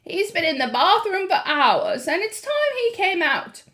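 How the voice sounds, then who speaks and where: A woman voicing a calm, loving elderly grandmother who speaks steadily and warmly. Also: extremely high pitch, unhurried, pitched above the performer's own voice, exaggerated voice. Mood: calmly polite. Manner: cool, steady, affectionate.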